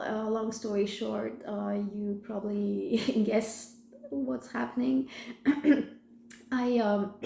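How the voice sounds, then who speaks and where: A woman, croaky from a sore throat, telling her personal story in a hesitant way, full of fillers and restarts. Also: mid-range voice, warm tone, a little hiss or hum. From France. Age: 30s. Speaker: vlogger sharing her recovery story. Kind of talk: personal testimony, casual talk to camera. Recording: echoey room.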